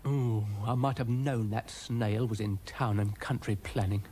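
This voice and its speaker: A man's voice: fairly low pitch, steady tone, unhurried, warm tone, natural voice. Man voicing a muttering, scheming storybook dog. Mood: grumbling. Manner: muttering, pompous. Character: scheming, grumbling, self-satisfied male storybook dog. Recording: clearly noisy recording.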